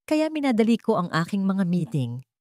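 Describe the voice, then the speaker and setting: A woman voicing a calm, menacing man, a doting but dangerous partner in a storybook, controlled and softly probing. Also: medium pitch, normal speed, no room sound, studio quality, lightly acted. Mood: affectionate.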